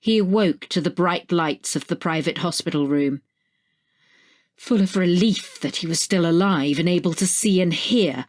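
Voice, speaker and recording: Female narrator, slightly low voice, reading scripted short fiction. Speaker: story narrator.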